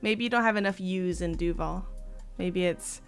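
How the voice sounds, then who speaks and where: A woman hosting a casual livestream chat, her voice hoarse after losing it, giggling and chatting to her viewers. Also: fairly low pitch, normal speed, faint music behind. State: hoarse, partly lost voice. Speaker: streamer host.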